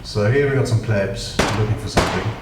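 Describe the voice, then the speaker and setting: A British man with a very low voice talks his way through a game in a loose, rambling way, full of dry asides that poke fun at himself. He sounds some way off from the mic, in an echoey room with clear noise underneath. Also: a little fast, distant mic. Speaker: gaming channel host. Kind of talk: casual gameplay commentary.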